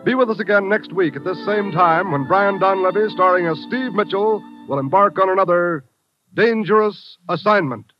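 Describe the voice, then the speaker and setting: Man announcer, high, music behind, dull old radio. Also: normal speed. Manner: formal, declaiming.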